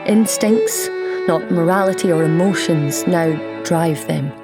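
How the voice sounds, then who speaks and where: Immortal imprisoned goddess, brooding, yearning, measured literary delivery, audio drama, sound bed behind. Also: female voice, on the low side, over loud music. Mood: brooding, bitter.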